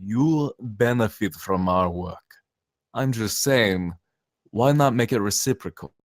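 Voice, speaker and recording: A man's voice: on the low side, expressive, takes it slowly, no room sound, clean studio sound. A man at a screenplay table read playing a brash, cynical saboteur who talks in emphatic, profane slang. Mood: coolly persuasive. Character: cynical, brash zealot saboteur posing as safety inspector.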